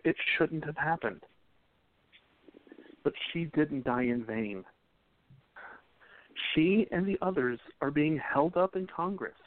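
A man playing a gentle, respectful young 1920s man who reassures his sweetheart, in an amateur radio play. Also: medium pitch, natural voice. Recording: tinny phone-like audio.

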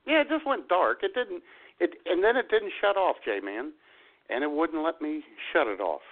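Animated phone-line male radio host. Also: on the high side, normal speed. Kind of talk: casual comic banter. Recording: thin phone-like radio audio.

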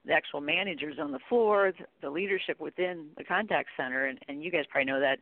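A woman's voice: deep voice, fast talker, gravelly, no echo. A woman speaking as an expert guest in a conversational interview, talking informally and emphatically. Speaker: guest expert on contact center training. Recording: narrow tinny phone-like line.

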